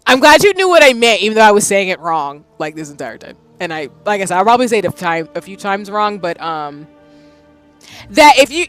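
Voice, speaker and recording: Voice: mid-range voice, a bit bright. A streamer in a casual stream chat who rambles and corrects herself mid-sentence. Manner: chatty, rambling, self-correcting.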